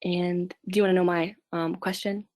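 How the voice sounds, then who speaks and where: A woman from West Virginia calling in for a reading, soft-spoken and hesitant, with ums. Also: fairly low pitch, little pitch movement, moderate pace, no room sound, clean studio sound. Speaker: caller getting a reading.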